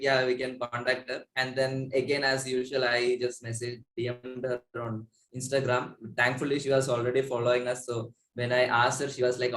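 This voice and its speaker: Roomy-sounding young Indian man, casually chatting. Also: mid-range voice. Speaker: musician, interview guest. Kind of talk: casual chat.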